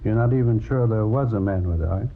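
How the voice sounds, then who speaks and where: An actor playing a laconic American police lab technician in a 1950s radio crime drama, talking in a casual, matter-of-fact way. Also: male voice, fairly low pitch, quick pace. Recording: tinny, noisy vintage radio recording.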